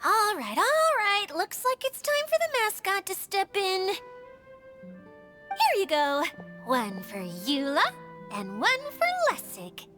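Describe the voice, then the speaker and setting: A woman's voice: very high voice, huge pitch swings, takes it slowly, pushed and bright, exaggerated voice. A woman voicing a cute, cheerful mascot girl in a video game, sweet, bubbly and soothing. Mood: playful. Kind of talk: video game cutscene acting. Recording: clear music behind the voice.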